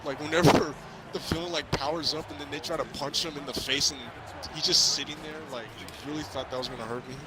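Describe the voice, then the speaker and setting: A young man chatting casually while gaming, telling things in a rambling, halting way. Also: fairly high pitch, fairly even intonation. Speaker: gamer reviewing a film with a friend. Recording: crowd and ball bounces from game. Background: basketball video game crowd noise.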